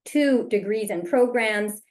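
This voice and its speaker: A woman's voice: a little high, slow. A woman hosting a virtual panel as moderator, introducing topics and passing questions along over a video call.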